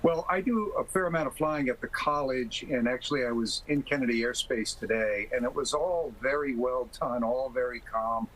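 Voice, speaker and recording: A man speaking as an expert guest in a TV interview, explaining things in a measured, careful way. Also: mid-range voice, fairly bright tone, rough recording. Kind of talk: live TV interview.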